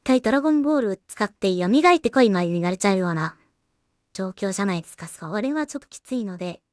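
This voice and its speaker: A woman's voice: mid-range voice, animated, fast talker, a little mellow, no room sound, studio quality. A woman giving casual production commentary, thinking aloud as she works as a solo animation creator and voice actor.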